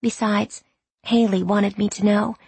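A woman voicing an earnest teenage high school girl confiding in her diary in an audiobook, self-mocking and candid. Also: little pitch movement, unhurried, creaky, darkish tone, slightly rough, no echo, heavily acted. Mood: chatty, confiding. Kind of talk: audiobook reading of diary entries.

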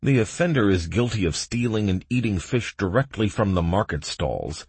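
A stern fantasy prison guard played by a male narrator growls out orders with grim impatience. The voice is husky and rough, lifted from a low natural register into forceful barking, in a dry storybook recording. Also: sing-song, moderate pace, no echo, heavily acted. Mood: suspicious.

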